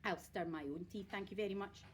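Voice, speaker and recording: A woman's voice: mid-range voice, a little fast, slight background noise, close to an everyday voice. Snappy, grumpy old grandmother, acted out by a woman. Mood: demanding. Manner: snapping, bossy, scolding. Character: selfish, grumpy, wicked tiny old grandmother.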